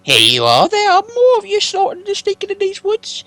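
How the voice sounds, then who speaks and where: A man voices a suspicious, bad-tempered troll, snarling threats in rough dialect at the top of his voice. The tone is bright and strained, with gravel running through it and wide swings in pitch. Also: huge pitch swings, a little fast, no room sound, heavily acted. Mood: menacing. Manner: loud, rough, menacing dialect speech. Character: quarrelsome, suspicious male troll.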